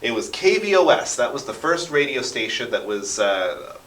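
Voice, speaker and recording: A man's voice: medium pitch, big pitch swings, normal speed, a bit bright. An American man telling stories conversationally as an interview guest, with dramatic flourishes. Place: echoey radio studio. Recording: roomy echo, distant from microphone.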